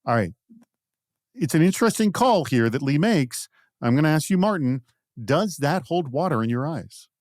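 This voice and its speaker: A man's voice: fairly high pitch, sing-song, moderate pace, a little mellow, no echo, studio quality. A man hosting a panel podcast, reading out prompts and putting questions to guests. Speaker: podcast host.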